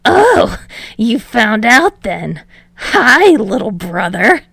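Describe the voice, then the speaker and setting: Woman as young villain guy, mock-brotherly, teasing, lively pitch, drawn-out words, dry sound. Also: gravelly, breathy edge, no echo, full character voice. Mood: gleeful teasing.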